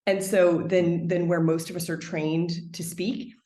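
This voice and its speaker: Woman podcast guest, lowish voice, thoughtful, chatty with fillers, roomy echo. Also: flat delivery, a little slow, mellow. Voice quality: trained singer, speaks lower than her singing range. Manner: thoughtful, expansive, frequent "like" fillers. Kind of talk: conversational interview.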